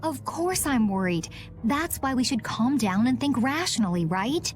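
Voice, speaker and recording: A woman playing a calm, rational young adventurer with a measured delivery in a video game. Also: fairly high pitch, faint music behind. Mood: reassuring.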